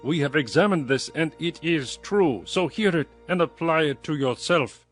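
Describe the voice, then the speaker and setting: An actor playing an earnest, pious counselor who admonishes solemnly and with assurance in a dramatized scripture reading. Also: male voice, a little high, normal speed, close to an everyday voice. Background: music.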